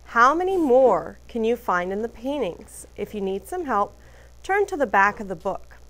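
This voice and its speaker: A woman teacher reading a picture book aloud to children, deliberately emphasizing letters and phrases. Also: a little high, big pitch swings, fairly bright tone, a little hiss or hum.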